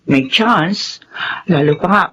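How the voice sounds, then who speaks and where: A woman's voice: on the low side, animated, slow pace, sharp tone, exaggerated voice. Chatty, gossipy Filipino woman friend. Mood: chatty, intrigued. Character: gossipy, approving female friend. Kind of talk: Filipino radio drama acting. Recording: slightly dull, noisy ambience.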